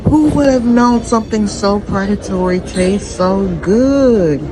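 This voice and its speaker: A woman's voice: mid-range voice, a little slow, noisy background. A woman giving casual travel vlog commentary in a blunt, slangy, profane way. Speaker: travel vlogger and podcast host.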